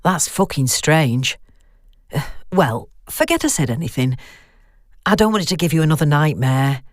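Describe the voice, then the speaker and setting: A woman plays a concerned female friend in an audio horror story. She speaks frankly and briskly, as if in a phone conversation. The sound is dry and close. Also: sits low, big pitch swings, no room sound. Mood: puzzled, caring.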